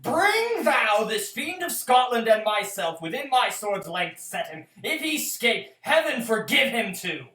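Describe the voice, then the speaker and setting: A grieving noble warrior played by a woman pours out sorrow in a Shakespeare radio play. The voice is fairly high and very animated, recorded in an echoing room a little off the mic. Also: unhurried, hardly any pauses. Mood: vengeful grief. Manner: impassioned, dramatic swings. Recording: roomy, slightly distant microphone.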